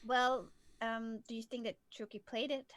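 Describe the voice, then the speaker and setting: A woman's voice: a little high, steady tone, normal speed, a bit bright, no room sound. A woman from southern Hungary, patiently explaining chess moves as a coach on a live stream. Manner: clear, patient explaining, laughs easily.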